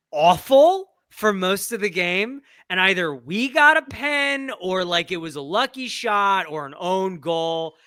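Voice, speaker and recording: A man's voice: sits high, bright, forceful tone, clear, resonant tone, dry recording, clean studio sound. A man co-hosting a sports podcast in casual conversation. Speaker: podcast co-host.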